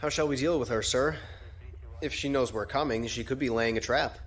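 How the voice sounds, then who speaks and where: An actor playing a questioning, respectful junior lieutenant in a science fiction audio drama. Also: male voice, medium pitch, normal speed, a bit bright, breathy edge. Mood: questioning, concerned. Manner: respectful, formal. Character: questioning, deferential male junior naval lieutenant.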